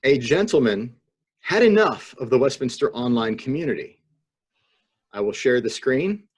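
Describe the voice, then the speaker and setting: A man with a husky voice joking deadpan in a casual comedic panel chat. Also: medium pitch, moderate pace. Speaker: podcast panelist. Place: remote video call.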